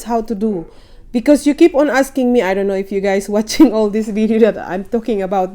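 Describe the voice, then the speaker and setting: Woman vlogger at home, mellow voice, talks straight to camera, encouraging, keeps checking in with viewers. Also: mid-range voice. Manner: chatty and encouraging, often checks in with "okay?" and "you know". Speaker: vlogger giving caregiver job advice. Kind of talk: casual advice vlog to camera. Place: at home in Canada.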